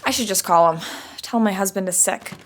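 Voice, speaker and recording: A woman's voice: mid-range voice, a little fast, noisy background, close to an everyday voice. A woman playing a dramatic, scheming twenty-something in a podcast sitcom, with a theatrical, exaggerated manner. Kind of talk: sitcom acting.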